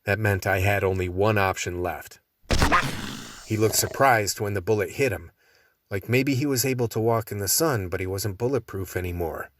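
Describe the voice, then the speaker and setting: A man's voice: fairly low pitch, moderate pace, noisy background, close to an everyday voice. A man playing a tough survivor who narrates his fight with vampires in a first-person radio play. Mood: grim, steady narration.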